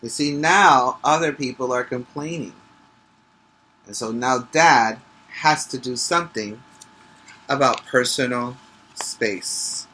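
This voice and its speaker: A man who teaches preschool, reading a picture book aloud emphatically, stretching key words and pausing to explain things to the children. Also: medium pitch, pushed and bright, rough recording. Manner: instructive, checking in with listeners.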